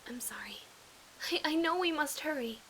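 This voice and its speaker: A woman's voice: high-pitched, lively intonation, normal speed, a bit bright, a little hiss or hum, low-quality recording. A woman playing an earnest, tender-hearted young princess in a fantasy game fan dub, emotional and formally spoken. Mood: apologetic.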